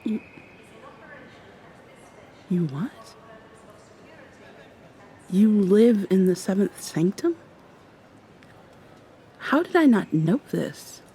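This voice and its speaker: A woman playing a shy, flustered young vampire girl in love in an intimate ASMR roleplay, speaking haltingly and often dropping toward a whisper. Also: medium pitch, big pitch swings, full character voice. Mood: flustered, affectionate. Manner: soft, halting, breathy whispers and nervous laughs.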